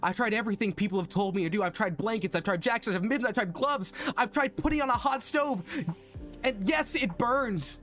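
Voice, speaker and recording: Man playing lovestruck undead monster, high-pitched and earnest. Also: fairly bright tone, faint music behind. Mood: anguished. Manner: halting, earnest dramatic monologue with repeated phrases. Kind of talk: theatrical romantic monologue.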